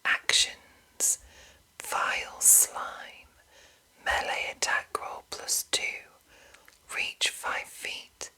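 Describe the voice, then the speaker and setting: Slow, breathy whispering ASMR woman. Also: bright, forceful tone. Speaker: ASMR host reading a monster manual.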